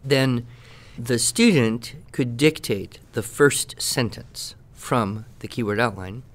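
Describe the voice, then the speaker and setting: A man in casual podcast talk, giving teaching advice in a measured, deliberate, dryly playful way. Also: medium pitch. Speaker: podcast guest expert answering questions.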